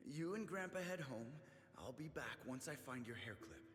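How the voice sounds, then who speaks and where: A man playing a gentle, devoted father in a game, reassuring and tender, with reverb as in a memory. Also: mid-range voice, lively intonation, normal speed. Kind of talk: dramatic game flashback acting. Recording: echoing long reverb, music behind.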